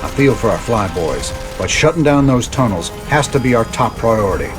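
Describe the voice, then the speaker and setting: An actor playing a weary, resolute rebel commander, laconic and folksy, in a video game. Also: male voice, mid-range voice, normal speed, music in the background, close to an everyday voice. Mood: decisive. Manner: laconic, folksy, steady authority. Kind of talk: dramatic video game acting.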